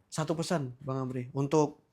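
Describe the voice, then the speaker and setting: A man's voice: mid-range voice, fairly even intonation, moderate pace, mellow. A young man from Java hosting a casual podcast interview, chatty and laughing often, with many fillers. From Java, Indonesia. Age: young adult. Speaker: podcast host.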